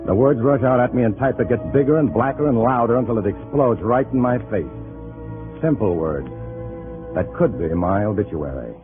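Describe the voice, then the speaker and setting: Guy acting as tense bitter double agent, lowish voice, 50s radio noir, tinny old recording, faint music. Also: lively intonation, moderate pace, close to an everyday voice. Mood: grim, brooding. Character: bitter, tense undercover agent posing as Communist comrade. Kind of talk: 1950s radio acting, hard-boiled first-person narration. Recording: muffled vintage radio drama, faint music.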